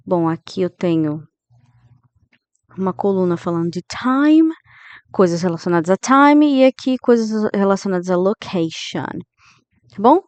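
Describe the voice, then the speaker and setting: Brazilian teacher lady, 30s, slow and patient, dry close mic, keeps checking in with the class. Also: mid-range voice, expressive, darkish tone, smooth, no echo, muffled recording. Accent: Brazilian Portuguese. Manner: didactic, checks in with listeners often; slows down to model English phrases. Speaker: English teacher. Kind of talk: grammar lesson.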